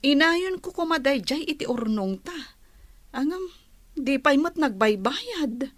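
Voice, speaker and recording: An actress playing a practical, sensible wife in a radio drama, calm and gently reasoning. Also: female voice, high voice, unhurried, dry recording.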